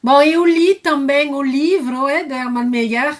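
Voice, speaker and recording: A woman with a French accent, speaking in a high voice and at an unhurried pace. She searches for her words as she explains her work as a nutritionist. She talks in the plain manner of a live question-and-answer session. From France. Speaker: nutritionist and student asking a question. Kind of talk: live online Q&A.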